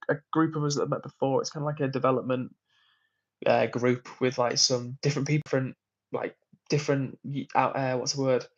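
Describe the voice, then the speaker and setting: Brief: male streamer, friendly rambling chat, mid pitch, slightly dull lo-fi sound. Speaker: livestream host. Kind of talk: casual livestream chat reading comments. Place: hotel room.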